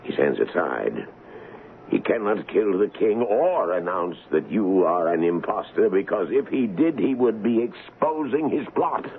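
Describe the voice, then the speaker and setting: Royal colonel, loyal, excitable, theatrical, slow delivery, tinny old radio broadcast. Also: male voice, lowish voice. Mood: grave, reasoning. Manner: theatrical, deliberate, emphatic. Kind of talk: 1970s radio drama acting. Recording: muffled 1970s radio broadcast, faint hiss.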